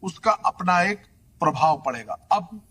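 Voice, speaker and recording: High-voiced man explaining law emphatically. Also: lively intonation, a little slow, sharp tone, slightly rough. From Delhi, India. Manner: emphatic, point-by-point, code-switching into English legal terms. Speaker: legal expert guest. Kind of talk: explanatory legal commentary in an interview.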